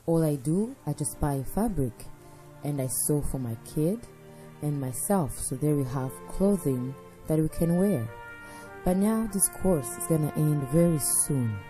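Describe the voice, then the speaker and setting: A woman with a low voice gives a documentary interview as a widowed mother. She talks earnestly in short, halting phrases. Music plays clearly under her voice. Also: animated, rough recording. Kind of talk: documentary testimony. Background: background music.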